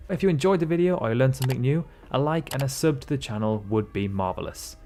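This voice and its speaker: Man, upbeat emphatic voice-over, mid-pitched, faint music behind. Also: lively intonation, fast talker, mellow. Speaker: video narrator. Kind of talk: scripted comparison narration. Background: faint background music.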